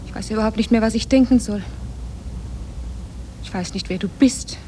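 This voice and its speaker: A distraught wife acted by a woman in an old radio drama, insistent and full of reproach. Her medium-pitched voice pleads and accuses with emphasis, over a faintly noisy cassette transfer. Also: moderate pace, mellow, lightly acted. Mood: anxious, pleading. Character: distraught, accusing wife who discovers her husband's deception. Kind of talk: 1960 German radio play acting.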